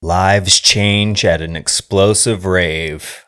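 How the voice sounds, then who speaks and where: A man with a deep voice talks at an unhurried, slow pace. He is a podcast co-host in a casual chat about films, with dry, teasing delivery. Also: steady tone, a bit bright, clear, resonant tone. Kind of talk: casual film discussion.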